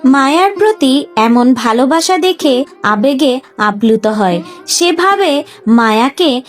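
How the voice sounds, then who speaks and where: A woman narrating a scripted cartoon story in an expressive storybook manner. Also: high voice, slow pace, soft background music.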